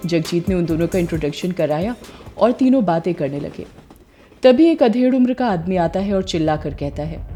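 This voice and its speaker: A woman's voice: fairly low pitch, animated, moderate pace, mellow. A woman narrating a scripted horror story as the storyteller. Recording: music behind voice.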